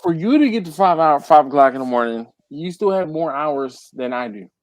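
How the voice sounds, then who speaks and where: A man's voice: on the high side, expressive, brisk, dry recording. A man hosts a casual live stream chat, reading out viewer comments and ribbing a friend. His voice moves up and down a lot. The sound is dry and close. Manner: chatty, teasing, reads viewer comments aloud. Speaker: livestream host.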